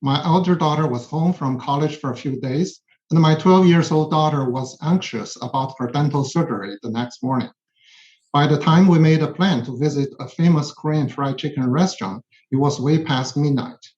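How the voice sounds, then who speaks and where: A man's voice: a little high, normal speed, a little mellow. A middle-aged or older man from China with a Chinese accent, a professor reading personal testimony in a formal, sometimes hesitant way. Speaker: physics professor, witness. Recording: muffled, echoey room sound.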